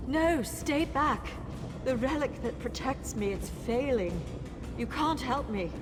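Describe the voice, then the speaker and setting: An actress playing a frightened, doomed mage in a video game, speaking in a halting, pleading way. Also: female voice, high voice, expressive. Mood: urgent warning. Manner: slow, halting, pleading delivery. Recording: long reverb, faint music behind.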